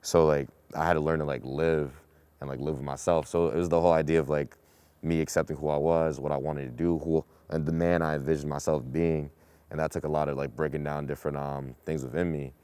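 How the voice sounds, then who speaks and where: A young man from Boston with a very deep, low voice. He talks in long, loose runs packed with fillers. The sound is close and dry, with no room echo. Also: level tone, fairly quick, dark tone, clean tone. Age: young adult. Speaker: student panelist. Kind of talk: casual group discussion.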